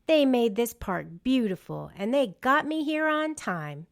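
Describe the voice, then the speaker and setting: A woman's voice: a little high, big pitch swings, measured pace. A woman voicing a friendly, dramatic, heartfelt male singing star in a children's storybook. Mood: grateful.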